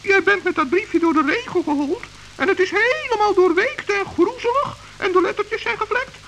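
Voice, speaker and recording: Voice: extremely high pitch, lively intonation, fairly bright tone, a little hiss or hum, full character voice, poor audio. A performer voicing a small, kind, earnest forest gnome in an old children's radio play. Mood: reading, worried. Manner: earnest, hurried, stammering when flustered.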